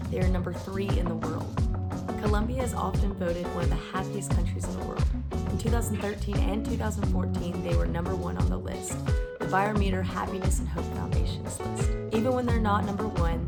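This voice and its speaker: A young woman presenting a segment of fun facts, reading them from a script in an upbeat listing manner. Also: fairly low pitch. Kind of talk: scripted fact narration. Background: loud music bed.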